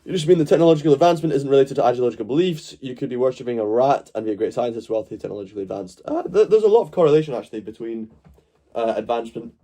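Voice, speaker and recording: A man from Glasgow riffing on a live stream in a mellow, dark-toned voice. He rambles and jokes, with swearing sprinkled through his chat. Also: mid-range voice, lively intonation, very fast. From Glasgow, Scotland. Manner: rambling, joking, swears freely. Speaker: livestream host. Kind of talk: casual live-stream commentary.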